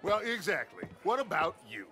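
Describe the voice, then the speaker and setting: An actor playing a charismatic American outlaw gang leader in a western game, authoritative and theatrical. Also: male voice, sits high, big pitch swings, moderate pace, background noise. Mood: dismissive. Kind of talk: cinematic western game acting.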